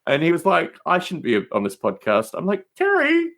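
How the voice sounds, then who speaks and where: A man's voice: a little high, wildly swooping pitch, normal speed, fairly bright tone. A man chatting casually as a podcast guest, with an emphatic way of talking. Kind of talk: casual conversation.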